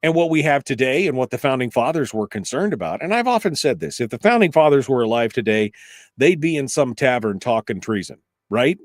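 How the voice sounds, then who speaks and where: A man's voice: medium pitch, animated, fairly quick, no echo, clean studio sound. A male talk radio host speaking live in an emphatic, conversational, rambling way, giving his opinions and asking rhetorical questions.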